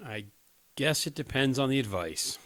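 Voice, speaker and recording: A man's voice: medium pitch, animated, normal speed, fairly bright tone, natural voice. An actor in a radio play, playing an earnest, principled younger man who works on a crisis hotline and speaks with moral sincerity. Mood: wary.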